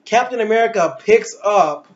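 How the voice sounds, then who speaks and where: A man's voice: high-pitched, expressive, moderate pace. A man giving casual, opinionated film commentary in an emphatic, ranting way full of rhetorical questions. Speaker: movie reviewer. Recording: muffled, echoey room recording.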